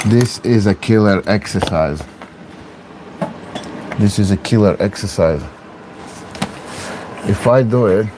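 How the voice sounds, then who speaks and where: A man's voice: fairly low pitch, noisy background. A man from Latvia, an arm wrestler talking casually to viewers in broken English while he trains. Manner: broken English, chatty, talks through exertion.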